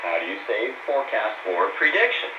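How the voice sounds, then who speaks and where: A man's voice: lowish voice, sing-song, fairly quick. Over a noisy, echoing shortwave signal, a man co-hosts a scripted language lesson. His intonation swings widely and his delivery is that of a studio presenter. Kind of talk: scripted banter and repeat-after-me lesson. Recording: muffled echoey noisy shortwave radio.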